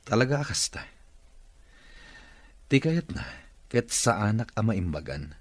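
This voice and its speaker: An actor with an Ilocano accent playing a wealthy, authoritative boss in a radio drama. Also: male voice, fairly low pitch, sing-song, unhurried, no echo. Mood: stern, resolute. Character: wealthy recovering boss, stern and resolute.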